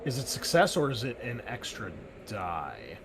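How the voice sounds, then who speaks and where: A man's voice: medium pitch, huge pitch swings, a little slow, creaky, noisy background. Chatty man running a tabletop game. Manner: loose and chatty, full of "like" and "um" fillers. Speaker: game master.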